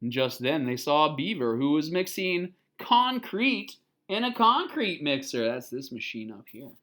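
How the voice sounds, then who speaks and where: A children's picture book reader with a bright, forward voice. The delivery is slow and expressive, moving between storytelling and simple explanatory asides with small questions to the listener. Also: medium pitch.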